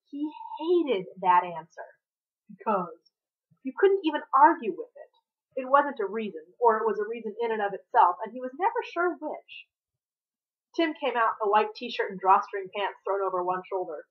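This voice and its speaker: A woman's voice: fairly high pitch, lively intonation, normal speed. Thin, phone-like recording of a woman narrating. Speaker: novelist reading own work. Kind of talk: narrated audiobook-style fiction reading. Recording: thin, band-limited home recording.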